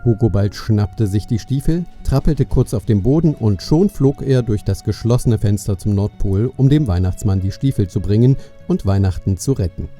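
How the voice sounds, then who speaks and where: A man's voice: lowish voice, moderate pace, few pauses, dark tone, soft background music. A man narrating a scripted children's story as the storyteller of an audio play.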